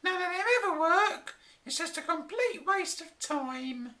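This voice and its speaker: A shrill scolding wife. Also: female voice, lively intonation, measured pace, bright, forceful tone, full character voice. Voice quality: very high, shrill. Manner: mocking, scornful.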